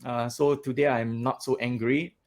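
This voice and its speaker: Gravelly-voiced male podcast host. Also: mid-range voice, normal speed. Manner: loud, animated, laughs often. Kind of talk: casual interview chat.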